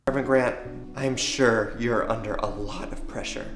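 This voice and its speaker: A man plays an earnest, pleading son in a melodramatic web drama. He speaks with preachy sincerity at a middling pitch. The dialogue sounds roomy, with music underneath. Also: steady tone, normal speed, close to an everyday voice.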